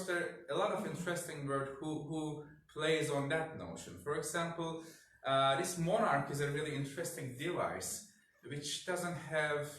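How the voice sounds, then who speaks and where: Halting male presenter in a very echoey room. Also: medium pitch, a bit bright. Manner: hesitant, halting delivery with repeated words. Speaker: researcher presenting at a symposium. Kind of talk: academic conference talk, partly improvised. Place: indoor symposium room. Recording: very echoey room, distant mic, faint noise.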